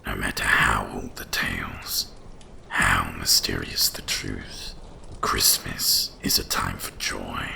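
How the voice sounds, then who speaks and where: A man voicing an ancient, wise dragon guardian of winter in a slow, solemn, gravelly whisper for an ASMR roleplay. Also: fairly even intonation, sharp tone, a little hiss or hum, full character voice. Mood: warm, reverent. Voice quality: deep gravelly breathy whisper. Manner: slow, solemn, deliberate delivery.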